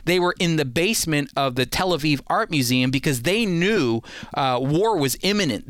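A man's voice: a little high, a bit bright, dry recording, studio quality. A man hosting a show, giving an explanatory history talk and stressing key points by repeating them. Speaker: show host.

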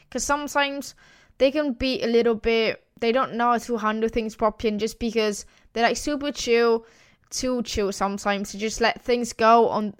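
A young woman from Italy speaks English with an Italian accent as she hosts her own podcast. Her voice sits a little high and comes through smooth and clear. She chats warmly and eagerly, often breaking into a laugh between thoughts. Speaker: podcast host. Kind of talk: casual podcast monologue. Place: home in Wales.

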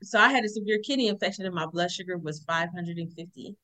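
A woman from Houston, Texas, a podcast guest calling in remotely, telling a personal story in an animated way and acting out what people said. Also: lowish voice, fast. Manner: animated storyteller, acts out quotes.

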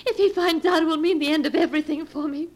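Woman playing an anxious, high-voiced wife. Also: fairly even intonation, fast talker, a little mellow, lightly acted. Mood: desperate. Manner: melodramatic, urgent, fearful. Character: anxious, secretive wife being blackmailed. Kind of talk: 1940s radio acting.

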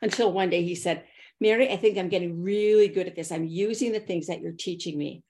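A middle-aged woman is a podcast guest, telling personal stories in a low voice. She is animated and acts out the thoughts she quotes. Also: fairly quick. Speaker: podcast guest, consultant and author. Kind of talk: personal storytelling in an interview.

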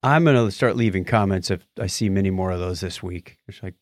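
A man co-hosting a podcast in casual chat, informal and animated, often acting out his examples. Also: deep voice, brisk, no room sound, clean studio sound. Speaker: podcast co-host.

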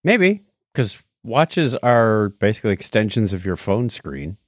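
A man's voice: on the low side, sing-song, measured pace, no room sound, studio quality. A man co-hosting a podcast, chatting casually and telling personal anecdotes. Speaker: podcast co-host.

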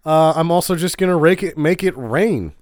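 A man recaps a film with friends in dry, wry banter, peppered with swearing. His pitch rises and falls a lot. The recording is dry and close. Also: normal speed, dry recording. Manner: wry, deadpan asides, profane. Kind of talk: casual movie recap chat.

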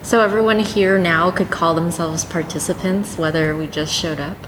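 A woman's voice: fairly low pitch, fairly even intonation, moderate pace. A woman hosting a podcast episode, chatting casually and loosely with guests, often laughing. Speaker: podcast host. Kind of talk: casual interview chat. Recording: reverberant room with loud ambient noise.

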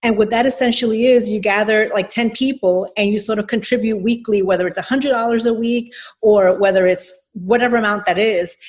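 Thoughtful woman explaining at length. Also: medium pitch. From New York City. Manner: thoughtful, explanatory, frequent hedges and restarts. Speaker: nonprofit executive guest. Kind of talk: interview answers.

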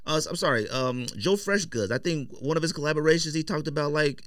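A man's voice: a little high, fairly quick, a bit bright, no room sound. A middle-aged American man in slangy, casual conversation, opinionated and emphatic, as a podcast host in a studio. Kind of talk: casual chat about sneakers, slangy.

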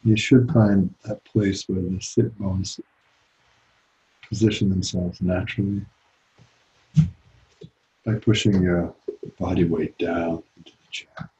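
Guy teaching meditation, deep soft-toned voice, calm and gentle w long pauses, recording a bit muffled. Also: slight background noise. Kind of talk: guided meditation.

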